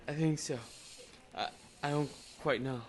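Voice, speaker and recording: An actor playing a nervous, bewildered small-time hitman on the run in an old-time radio drama, with halting, streetwise delivery. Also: on the high side, heavy reverb, far from the mic. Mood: bewildered, rattled. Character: jittery, bewildered male would-be hitman on the run.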